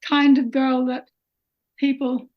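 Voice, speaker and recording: A woman from Ireland hosting a podcast interview, putting measured observations and questions to her guest. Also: high-pitched, little pitch movement, lo-fi sound.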